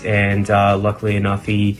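A man's voice: lowish voice, completely flat delivery, normal speed, soft background music. A man, a booking agent speaking plainly in an interview. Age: 30s. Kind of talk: casual interview.

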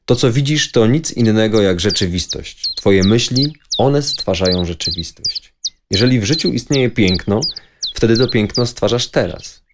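A man performing as a calm guide in an audio play, giving measured, philosophical instructions for a dreamy levitation exercise. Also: fairly low pitch, very noisy. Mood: guiding, reflective. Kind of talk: scripted guided-meditation audio play narration.

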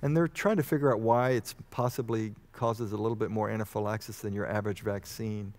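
A man in his fifties from Texas answering as a medical expert in an informal interview, speaking in a measured, hesitant, explanatory way. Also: fairly low pitch, fairly even intonation, a little mellow. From Houston, Texas, United States. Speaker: medical expert guest, vaccine researcher. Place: on stage in a church auditorium. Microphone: headset mic.

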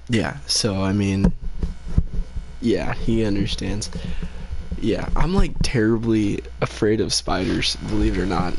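Young dude streaming games at 3am, gravelly low voice, slow and drawn out, sleepy rambling chat. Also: male voice, low-quality recording. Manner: rambling, laid-back, many fillers, reads chat aloud. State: tired late at night. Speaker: game streamer. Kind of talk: casual live-stream chat while gaming.

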